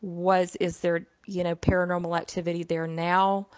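A woman's voice: on the low side, flat delivery, normal speed, a little mellow, clean tone, dry recording. A middle-aged woman with a Southern US accent hosting her own show as a psychic medium, talking in a casual, folksy way with lots of "you know.". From southern United States. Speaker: podcast host, psychic medium. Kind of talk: casual solo monologue. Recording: dull, dry, slightly lo-fi.

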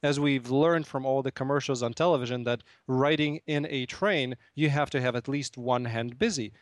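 A man from Russia talking on his own radio show, chatty and playful, pausing on fillers and laughing at his own jokes. His voice sits at an ordinary pitch for a man. The studio sound is dry and close. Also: no echo. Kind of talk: casual radio talk show monologue.

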